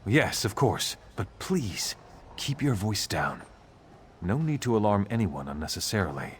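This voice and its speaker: Low voiced serious town guard officer in a fantasy game, earnest, lots of movement in the voice, bit of background noise. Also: male voice, big pitch swings, a little slow. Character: stern male city guard officer, regretful and duty-bound. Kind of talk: scripted fantasy game dialogue.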